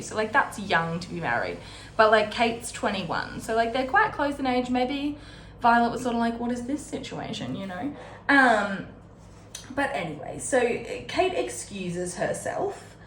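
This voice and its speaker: A woman's voice: mid-range voice, fairly bright tone. Casual woman recapping in an echoey room. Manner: informal, paraphrases characters' dialogue conversationally. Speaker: host of a book readalong. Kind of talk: casual chatty book recap. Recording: roomy echo with faint background noise.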